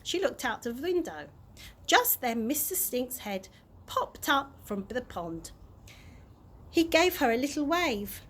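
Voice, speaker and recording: A woman narrating a children's chapter book aloud in an expressive storytime style. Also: sits high, slow. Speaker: early years practitioner reading a story. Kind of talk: animated children's audiobook narration. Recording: clear background noise.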